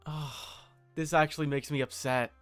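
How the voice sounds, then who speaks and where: A man's voice: a little high, steady tone, moderate pace, no echo, faint music behind. A man streaming casual reaction commentary, thinking aloud in broken phrases close to the mic.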